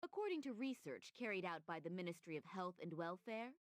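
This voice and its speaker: A woman playing a brisk, neutral television news anchor in a video game, reading formally. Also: on the low side, sing-song, no room sound, clean studio sound.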